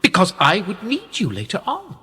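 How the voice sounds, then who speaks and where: A British man in a radio play acting a wealthy, upper-class power broker who is smug, condescending and manipulative, speaking in a measured, pompous way. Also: high-pitched, wildly swooping pitch, bright, forceful tone, breathy edge, exaggerated voice. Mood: gloating. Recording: reverberant hall-like echo.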